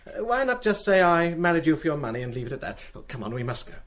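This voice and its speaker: An actor playing an urbane young schoolmaster in a radio play, dry and polite in manner. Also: male voice, fairly high pitch, big pitch swings, quick pace, fairly bright tone. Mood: dry teasing. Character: urbane, dryly teasing young schoolmaster and husband.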